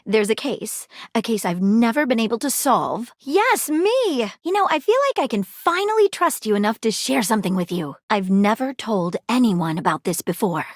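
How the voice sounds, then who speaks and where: A woman voicing an earnest, flirtatious female detective in a video game, with formal, theatrical, deliberate phrasing. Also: on the high side, lively intonation, no room sound, studio quality. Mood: troubled, confiding. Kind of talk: scripted game character dialogue.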